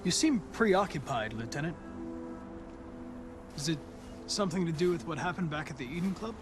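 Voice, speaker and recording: Brief: male actor as a polite, controlled android cop, slightly high voice, game score underneath. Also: moderate pace, close to an everyday voice. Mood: calm, composed. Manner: even, polite, composed. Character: calm young-looking male android detective. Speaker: police investigator partner. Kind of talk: video game cutscene acting. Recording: game score music behind. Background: music.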